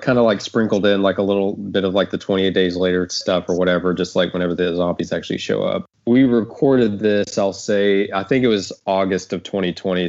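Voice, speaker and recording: Man, deep pitch, informal host, loose casual phrasing, slightly dull recording. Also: darkish tone. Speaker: host and show creator. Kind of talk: casual panel discussion.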